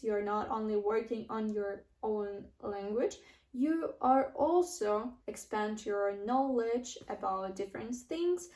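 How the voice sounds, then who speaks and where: A young woman from Russia with a Russian accent, talking earnestly to camera as she teaches English, often pausing on fillers and restarting her sentences. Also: fairly high pitch, a little mellow. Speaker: English-learning vlogger. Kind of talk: casual teaching monologue to camera. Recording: echoey room.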